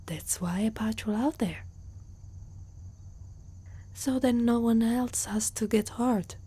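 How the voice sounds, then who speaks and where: A woman from Italy playing an older, protective female centaur warrior haunted by loss, in a soft, slow, breathy whisper for an ASMR roleplay. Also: fairly low pitch, heavily acted. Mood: tender, resolute.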